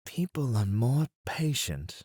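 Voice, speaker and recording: Woman acting low-voiced elegant etiquette teacher. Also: husky, dry recording, clean studio sound, exaggerated voice. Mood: poised instruction. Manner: refined, flattering, measured. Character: elegant, playful etiquette teacher charming a student. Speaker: roleplay voice performer. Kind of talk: scripted ASMR roleplay, teaching etiquette.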